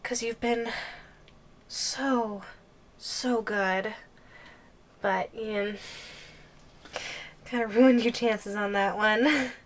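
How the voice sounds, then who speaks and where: A woman's voice: medium pitch, steady tone, extremely slow, long pauses, a little hiss or hum. A woman playing a playful, possessive giantess girlfriend in an ASMR audio roleplay, giggly and coy with lingering hums. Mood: teasing, menacing.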